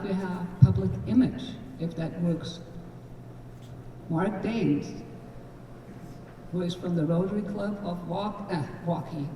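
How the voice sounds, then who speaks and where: A woman with a mellow voice introduces speakers at a district event, loose and joking. The sound is distant and roomy, with faint noise behind her. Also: fairly low pitch. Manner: casual and joking. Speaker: incoming district governor and emcee. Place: large event room. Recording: roomy hall from far off.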